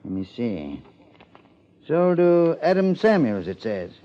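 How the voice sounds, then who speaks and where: An actor playing a grumbling, folksy old small-town clerk in a 1950s radio drama. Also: male voice, fairly high pitch, very animated, slow. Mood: folksy, deliberate. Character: crotchety, penniless old small-town former record keeper, male. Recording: muffled 1950s radio broadcast.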